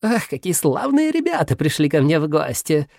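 A male narrator puts on a sneaky little minus sign from a storybook, all wheedling excuses and pleading. The voice sits high and swoops around a lot, with a gravelly edge. It is recorded close and dry. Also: big pitch swings, normal speed, darkish tone, no room sound, exaggerated voice. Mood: feigned innocence. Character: sly whiny minus sign, boyish troublemaker.

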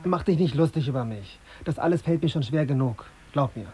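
Dark-voiced brooding young journalist. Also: male voice, a little high, lively intonation, brisk, husky. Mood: weary. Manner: terse, introspective. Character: young male journalist, brooding, irritable, self-observing. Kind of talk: radio drama acting with inner monologue.

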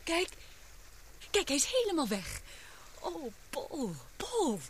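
An actress playing an affectionate, easily frightened young woman scientist in a radio play, with an expressive, emotional delivery. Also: high-pitched, slow, slight background noise, heavily acted. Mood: awed.

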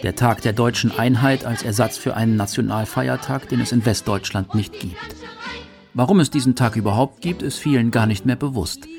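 A man's voice: mid-range voice, moderate pace, music in the background. A man narrating a scripted radio documentary. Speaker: documentary narrator and quote reader.

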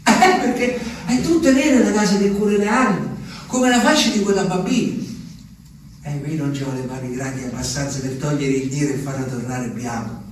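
An actor playing a naive, lonely, bewildered country wanderer in a theatrical radio monologue, childlike, rambling and repetitive. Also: male voice, on the high side, moderate pace, natural voice. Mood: plaintive, wondering. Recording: very echoey, distant, noisy ambience.

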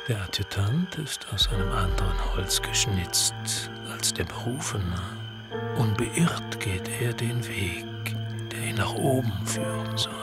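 An actor playing a solemn narrator, reciting literary prose in a deliberate, hushed manner in a radio play. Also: male voice, lowish voice, lively intonation, whispered. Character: solemn narrator reciting portrait of an ambitious adjutant. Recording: loud music behind the narration.

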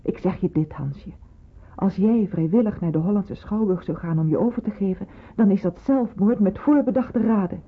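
An actress playing a stern but caring senior nurse, husky and insistent, in a radio drama. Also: female voice, medium pitch, moderate pace, lightly acted. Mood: urgent, pleading. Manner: insistent, commanding. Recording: phone-like muffled old radio recording.

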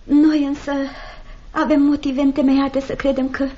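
A woman's voice: high voice, flat delivery, dark tone, lightly acted. An actress playing a genteel widowed mother in a Romanian radio play, sighing, pleading and anxious to placate. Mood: plaintive, pleading. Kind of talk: Romanian radio theatre acting. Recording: dull, band-limited old radio drama recording.

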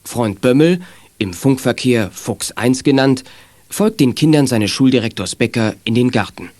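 A man's voice: mid-range voice, animated, moderate pace, darkish tone, dry recording, close to an everyday voice. A man narrating a children's audio drama in a scripted storytelling style. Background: music under the voice.

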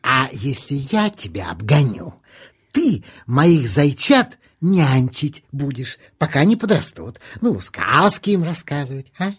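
A man's voice: medium pitch, big pitch swings, unhurried, husky. An actor playing a boastful, giggly male hare in a children's audio play. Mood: cocky. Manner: laughs a lot, teasing and boastful.

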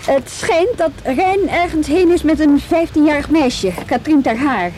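An actress in a Dutch radio drama playing a polite, persistent juvenile police officer, tentative and earnest. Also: female voice, high voice, lively intonation, a little slow, darkish tone, a little hiss or hum, low-quality recording.

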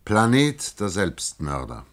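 A man's voice: low-pitched, huge pitch swings, slow pace, heavily acted. An actor in a science-fiction radio play voicing a proud, vain alien researcher who poses as a human salesman and speaks with earnest, pompous emphasis. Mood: grave, earnest.

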